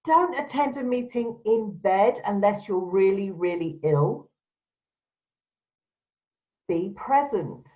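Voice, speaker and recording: A woman's voice: mid-range voice, lively intonation, takes it slowly, pauses often. A woman presenter giving instructional advice to camera in a firm, direct, emphatic way. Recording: dull, lo-fi sound.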